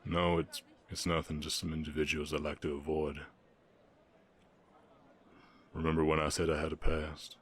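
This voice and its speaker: Man voicing a very deep Southern orc ranch hand. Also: breathy edge. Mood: wary, protective. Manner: halting, sighing, earnest. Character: gentle, reserved orc ranch hand in love. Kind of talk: intimate ASMR romance roleplay, Western setting.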